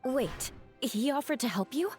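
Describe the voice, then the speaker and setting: A woman's voice: sits high, expressive, normal speed, a little mellow, soft background music. A woman playing a confident, encouraging young dancer in a fantasy video game, with an assured, direct delivery. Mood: brisk, direct.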